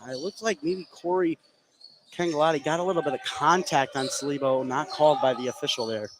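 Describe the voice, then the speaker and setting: A man's voice: fairly high pitch, brisk. Male basketball analyst over noisy gym sound. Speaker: color commentator, analyst. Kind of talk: live basketball game analysis. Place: indoor basketball gym during a game. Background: loud gym ambience, basketball bounces.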